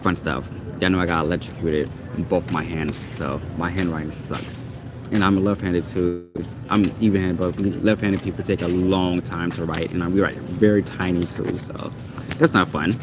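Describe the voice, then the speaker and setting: A man's voice: deep voice, steady tone, normal speed, music in the background. A man whose first language is Portuguese, ranting casually and with exasperation in a rambling, repetitive way. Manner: exasperated, rambling, repeats himself.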